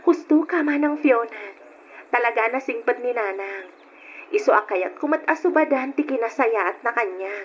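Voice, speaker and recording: A woman's voice: on the high side, expressive, normal speed, close to an everyday voice. An actress playing a polite, earnest, grateful young working woman and devoted daughter in a radio drama. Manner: deferential, earnest. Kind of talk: Ilocano radio drama acting. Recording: dull, noisy old radio drama recording.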